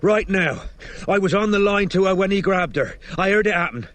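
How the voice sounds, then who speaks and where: A man voicing a gruff, frantic male investigator in an audiobook, urgent and quick to swear and bellow. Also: fairly high pitch, expressive, a bit bright, no echo, pitched above the performer's own voice. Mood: urgent, anxious. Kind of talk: audiobook character acting.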